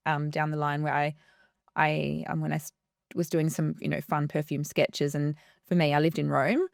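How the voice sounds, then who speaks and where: A woman, a perfumer, talking softly and hesitantly as a guest in a casual interview, with many fillers. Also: sits low, level tone, moderate pace, gravelly, dry recording, studio quality.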